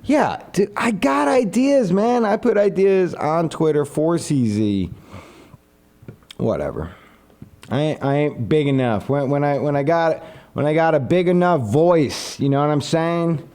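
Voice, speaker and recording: A young man on a casual live stream, pitching an idea to his viewers in an animated, rambling way and answering their comments. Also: a little slow. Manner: reads viewer comments aloud and answers them.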